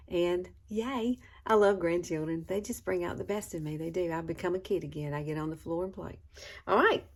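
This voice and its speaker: Chatty sixty-year-old woman. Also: fairly low pitch. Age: 60s. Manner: chatty, warm-hearted, calls viewers girl and y'all. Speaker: beauty vlogger. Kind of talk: casual makeup tutorial.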